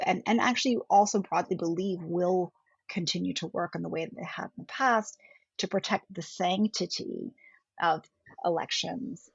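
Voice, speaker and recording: Low gravelly woman, German native. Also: no echo. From India. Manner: thoughtful, measured, often rephrasing. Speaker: political scientist guest. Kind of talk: podcast interview. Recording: dull lo-fi podcast audio.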